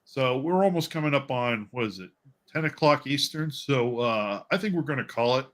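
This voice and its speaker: A man hosting a casual livestream chat on a video call, talking in a halting, rambling and jokey way. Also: medium pitch, lively intonation, a bit bright. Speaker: livestream host. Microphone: video call stream.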